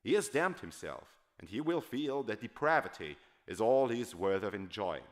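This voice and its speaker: Emphatic man reading a dramatic monologue, widely varied pitch. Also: sing-song, moderate pace. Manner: emphatic, declamatory, oratorical. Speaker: literary reader performing a novel character's monologue. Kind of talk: dramatic scripted audiobook reading.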